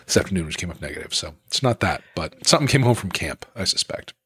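A man co-hosting a podcast, husky from a sore throat, chatting casually and joking at his own expense. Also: low-pitched, animated, quick pace, sharp tone, no room sound. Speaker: podcast co-host and musician.

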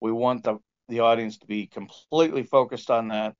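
Guy being interviewed about his craft, smooth clear voice, kinda halting, muffled lo-fi audio. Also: mid-range voice. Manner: halting, explanatory. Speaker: composer and sound engineer. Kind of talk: informal interview answers. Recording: dull lo-fi audio.

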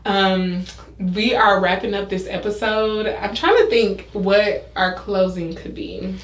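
A woman's voice: medium pitch, takes it slowly. Woman podcast host in an echoey room. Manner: slangy, advice-giving, repeats phrases for emphasis. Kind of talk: casual conversational podcast chat. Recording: roomy, echoey podcast sound.

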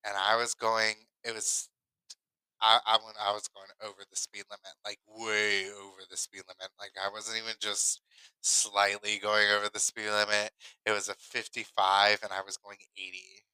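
A man with a very bright, forceful voice chats as a podcast co-host. His sound is clean, smooth and dry. He tells stories casually, repeating phrases for emphasis. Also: lowish voice, flat delivery, moderate pace, dry recording, low-quality recording. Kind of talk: casual personal storytelling.

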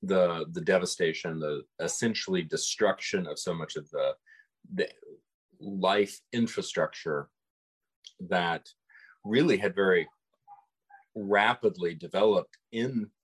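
A man co-hosting a podcast, talking in a measured, thoughtful way with frequent restarts. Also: low voice, husky, rough recording. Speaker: podcast co-host. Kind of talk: conversational discussion of folklore.

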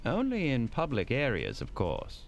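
An actor playing a polite, fussy, squeamish hotel manager in an audio drama, speaking in a formal, deferential and prissy way. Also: male voice, mid-range voice, wildly swooping pitch, moderate pace, vocal fry. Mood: guarded. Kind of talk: scripted audio drama acting.

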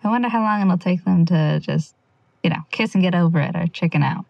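A woman playing a wry young amateur investigator in an audio drama, quietly talking through her notes in a rambling, sardonic, confiding way. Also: lowish voice, brisk, creaky, dry recording, dull audio. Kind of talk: audio drama monologue, casual confiding log.

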